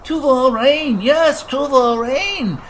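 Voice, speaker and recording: A man's voice: very high voice, slow, fairly bright tone, slight background noise, pitched above the performer's own voice, full character voice. A man voicing a fearful, hysterical floating creature that repeats its own words in a wavering voice. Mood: evasive. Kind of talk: audiobook character voice.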